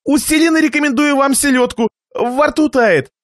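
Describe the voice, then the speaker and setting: A man acts out a miserly host from a satirical storybook. The voice sits high and moves around a lot, plaintive and fawning. Every courtesy is forced, with suffering and resentment underneath. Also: lively intonation, moderate pace, no echo, studio quality. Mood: forced enthusiasm. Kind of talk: dramatised reading of a satirical short story.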